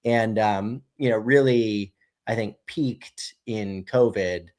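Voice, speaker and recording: A man's voice: fairly low pitch, slow, darkish tone. A man in his thirties, a brewery co-founder and podcast guest, telling a loose, casual story full of "you know" and "right?".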